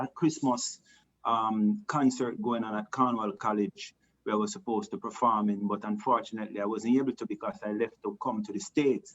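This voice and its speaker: A Jamaican man talks easily about himself as an interview guest. He rambles a little, starts over often and drops plenty of "you know". His voice sits on the low side, and the recording sounds dull and lo-fi. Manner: relaxed, rambling, many restarts and "you know". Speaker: reggae singer, interview guest. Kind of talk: casual interview talk.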